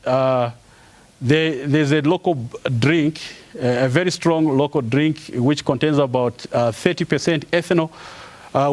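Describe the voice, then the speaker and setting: Halting man giving a lecture. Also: a little high, darkish tone. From Zambia. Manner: halting, with frequent "uh" pauses and restarts. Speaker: professor giving an inaugural lecture. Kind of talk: formal academic presentation with slides.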